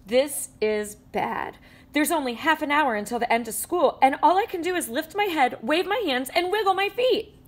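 A woman reading a children's picture book aloud with animated storytelling and playful sound effects. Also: high-pitched, moderate pace. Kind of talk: expressive read-aloud. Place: indoors at a table with a book.

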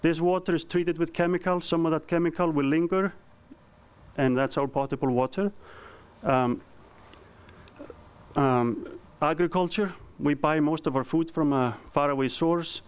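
Icelandic-accented man, smooth and resonant. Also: on the high side, fairly even intonation. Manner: earnest, halting with frequent ums and restarts. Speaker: company founder giving a presentation. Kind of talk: conference presentation. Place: conference room. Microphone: handheld mic.

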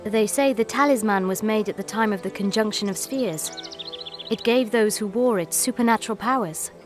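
A woman plays a proud, secretive herbalist in a fantasy game. She speaks coolly and assertively at a medium pitch, with faint music behind. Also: normal speed, close to an everyday voice. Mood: mysterious, knowing. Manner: cool, assertive. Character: guarded, proud herbalist woman rumoured a witch. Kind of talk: dramatic video game acting.

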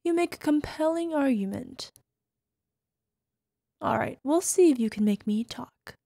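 Woman acting as playful affectionate wife, ASMR roleplay, flirty, breathy asides, touch of fry, close dry sound. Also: sits high, lively intonation, unhurried, warm tone, dry recording, exaggerated voice. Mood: playful, teasing. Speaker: voice actor.

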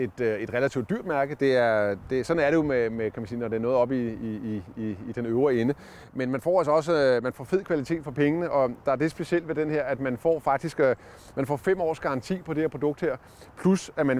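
A man's voice: medium pitch, darkish tone. A man in his forties giving a casual product review on a campsite lawn, talking with many fillers and restarts into a lapel mic. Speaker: product tester.

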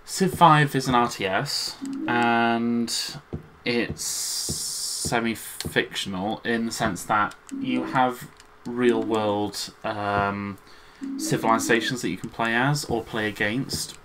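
A man's voice: medium pitch, fairly even intonation, takes it slowly, crowd noise, rough recording. A Scottish-born man with an English accent co-hosting a let's play, teasing with dry jokes. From Scotland. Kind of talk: casual gameplay commentary.

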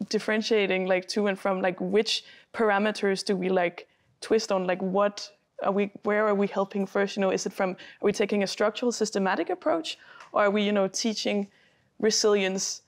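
A young adult woman student co-hosting a panel discussion, speaking casually with many "like" and "you know" fillers. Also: mid-range voice. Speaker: student co-host. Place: indoor library room with bookshelves.

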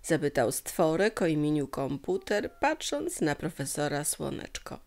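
A woman's voice: low-pitched, big pitch swings, a little slow, darkish tone. A woman storyteller narrating a scripted children's tale.

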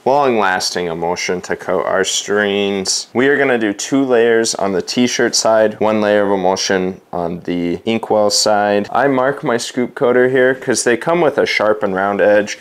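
A young man with a lowish voice walks through his screen printing prep in a casual vlog. He talks easily and explains each step as he goes, clipped with a lapel mic in a large workshop. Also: a little slow, few pauses, clear, resonant tone. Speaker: screen printing shop owner. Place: large workshop with wooden floors.

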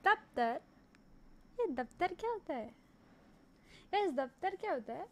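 Woman in her twenties, Indian accent, high voice, unhurried, casual streamer reaction, amused. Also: very animated, darkish tone, lo-fi sound. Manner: amused, repeating phrases back. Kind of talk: casual live reaction, mixing Hindi with some English. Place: indoor room at a streaming setup.

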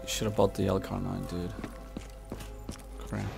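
Low-voiced young man doing game commentary. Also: level tone, takes it slowly, vocal fry. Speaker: gamer, video creator. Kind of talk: casual gameplay commentary. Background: video game music and sounds.

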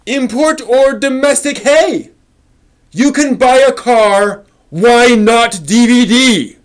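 A middle-aged man performs a dramatic reading in a notably high voice. He goes slowly, stretching and stressing words with overblown, impassioned theatricality. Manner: impassioned, overwrought, emphatic delivery with drawn-out words. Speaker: host reading an old forum post aloud. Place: small home room with shelves, indoors.

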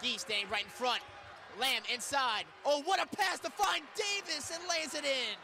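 A young man doing live radio play-by-play of a basketball game in short, punchy, excitable phrases that can rise to shouts. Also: extremely high pitch, moderate pace. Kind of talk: live sports commentary. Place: courtside in a college basketball arena. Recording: loud arena crowd and court noise. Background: crowd, bouncing basketball.

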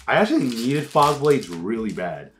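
A man's voice: medium pitch, moderate pace, soft background music. A young man co-hosting, chatting casually and calling out card names as he opens packs. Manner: lively, calls out card names, excited outbursts. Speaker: co-host opening card packs. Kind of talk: casual chat while opening packs.

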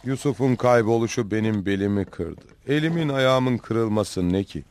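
An actor playing a frail, patient old father in an animated film, sorrowful and steadfast. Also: fairly low pitch, normal speed, slight background noise, lightly acted. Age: older.

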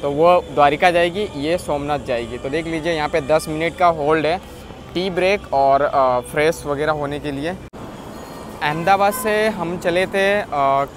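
A man's voice: on the high side, unhurried, background noise. Chatty man vlogging from a bus. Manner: chatty, pointing things out to viewers, frequent fillers. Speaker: travel vlogger. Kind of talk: casual travel vlog narration. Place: on and around a long-distance bus in city streets.

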